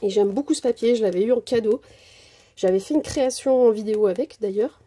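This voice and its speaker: A woman chats her way through a haul of craft supplies, pausing often on fillers as she thinks. Her voice is warm and mellow with a husky edge, sitting a bit high for a woman. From Portuguese descent. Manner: chatty, hesitant, many fillers. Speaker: craft vlogger. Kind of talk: casual product haul narration. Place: indoors at a craft table. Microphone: camera over table, hands only.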